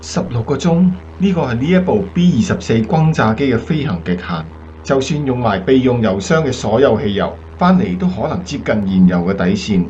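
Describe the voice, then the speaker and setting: Actor as steady bomber captain, muffled audio. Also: male voice, medium pitch, a little fast, slight background noise, natural voice. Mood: grave, commanding. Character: steady, earnest male American WWII bomber pilot captain. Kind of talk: Cantonese radio drama acting.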